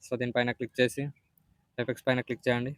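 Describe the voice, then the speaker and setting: A man's voice: mid-range voice, monotone, racing, a bit bright, clean tone, dry recording. A man with a Telugu Indian accent giving a step-by-step app tutorial voice-over as the host. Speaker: video editing tutorial host.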